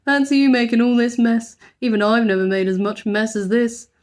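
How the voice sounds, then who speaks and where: Woman doing a cheeky, exclamatory young schoolboy, raised pitch. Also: little pitch movement, brisk, darkish tone, natural voice. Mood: admiring. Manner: exclamatory, boyish.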